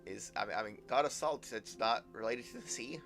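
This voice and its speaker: A man's voice: medium pitch, a little fast, vocal fry, over music. A man casually commentating on a live game stream, repeating words and thinking aloud as he goes.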